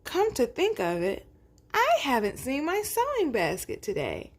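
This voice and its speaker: A woman voicing a fussy but reasonable mother goat, parental and gently instructive, in a storybook. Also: sits high, wildly swooping pitch, measured pace, pitched above the performer's own voice, heavily acted. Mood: fretful.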